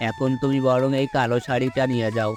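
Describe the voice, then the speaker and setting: Man doing a cartoon shopkeeper, mid-pitched voice, shrewd, grumbling, coaxing, music behind. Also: flat delivery, fast, natural voice. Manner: complaining, then coaxing a sale. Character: shrewd village sari seller, grumbling. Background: background music.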